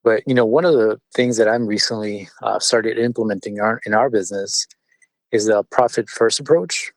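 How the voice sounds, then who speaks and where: A man whose first language is Spanish, a business owner answering interview questions in a measured way with frequent fillers and restarts. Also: fairly low pitch, no room sound, low-quality recording. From born in California, partly raised in Mexico City. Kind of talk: conversational interview.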